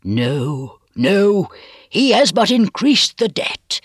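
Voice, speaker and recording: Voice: mid-range voice, big pitch swings, takes it slowly, dry recording, studio quality, heavily acted. A reader voicing a bitter, gloomy, vengeful old male physician with grave, archaic, rhetorical speech in a storybook reading. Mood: fierce.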